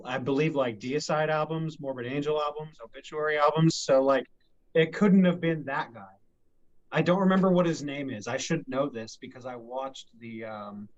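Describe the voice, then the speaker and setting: Slightly high man on lo-fi podcast audio. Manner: rambling, laughing, acts out quotes. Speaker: podcast co-host. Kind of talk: casual chat.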